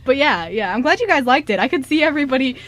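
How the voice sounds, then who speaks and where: A woman's voice: high-pitched, very animated, fast, fairly bright tone. A young woman chatting casually in a radio studio, giggly and encouraging toward the people around her. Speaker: scriptwriter on a radio show. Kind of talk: casual group chat.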